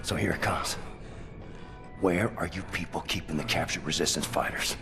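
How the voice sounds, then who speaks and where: An actor playing a tough American army veteran in a video game, blunt, terse and stubborn. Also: male voice, sits low, normal speed, music in the background. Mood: menacing. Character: tough, grimly determined American army soldier, war veteran.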